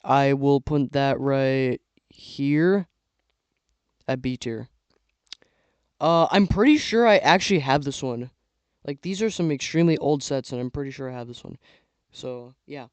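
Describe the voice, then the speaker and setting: Young male vlogger, rambly casual commentary, clear voice with a slight hoarseness. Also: mid-range voice, measured pace, pauses often, no echo. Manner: rambling, filler-heavy, trailing off. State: losing his voice. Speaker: YouTuber ranking toy sets. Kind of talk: casual tier-list commentary.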